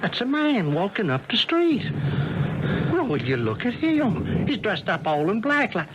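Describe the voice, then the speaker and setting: An actor playing a folksy, excitable young American infantryman in a 1970s radio drama. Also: sits high, measured pace, full character voice. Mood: puzzled. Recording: muffled, noisy old radio broadcast.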